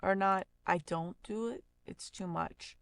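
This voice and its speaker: A woman instructor casually walking through audio software, informal and thinking aloud as she works. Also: fairly low pitch, fairly even intonation, measured pace, no echo. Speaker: audio plugin tutorial instructor. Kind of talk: casual software tutorial walkthrough.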